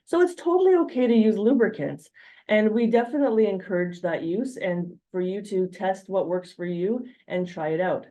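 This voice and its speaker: A woman's voice: mid-range voice, lively intonation, normal speed, warm tone. A woman physician with a Canadian accent giving a frank, reassuring slide talk on health. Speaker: physician presenter. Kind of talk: educational slide presentation.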